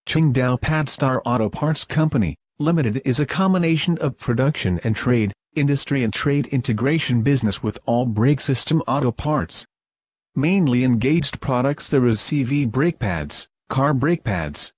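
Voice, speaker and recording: A man's voice: medium pitch, darkish tone, no echo. A man reading a scripted promotional voice-over for a factory, in stiff, awkward English. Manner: stiff, reads awkward non-native English text. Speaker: corporate video narrator. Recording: dull, lo-fi, dry recording.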